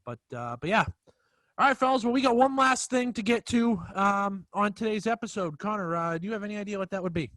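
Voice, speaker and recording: A man's voice: sits high, lively intonation, a little fast, fairly bright tone, no echo. A young man hosting a casual sports podcast with friends in a laid-back way, calling them fellas and reading sponsor spots. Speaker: podcast host.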